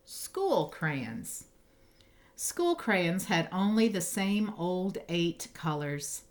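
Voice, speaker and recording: A woman reading a children's picture book aloud, with expressive phrasing and emphasized words. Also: lowish voice, takes it slowly. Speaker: storybook reader.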